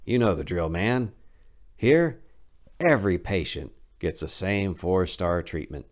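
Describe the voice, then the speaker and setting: A man's voice: sits low, expressive, unhurried. A man voicing a good-humored, smirking male nurse on a psychiatric ward, laid-back and teasing, in a story reading.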